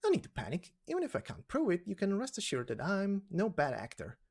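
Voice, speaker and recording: A man's voice: sits high, wildly swooping pitch, brisk, gravelly, a little mellow, slightly rough, voice pushed up, heavily acted. A man voicing a mysterious, composed informant in a game story, confident and coaxing. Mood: assured.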